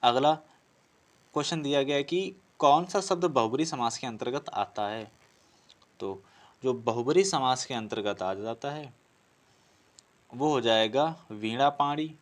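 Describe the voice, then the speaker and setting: A man teaching an exam-prep class, explaining step by step, repeating phrases and answering his own questions. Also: medium pitch. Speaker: teacher for competitive exam Hindi grammar. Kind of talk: classroom lesson working through practice questions.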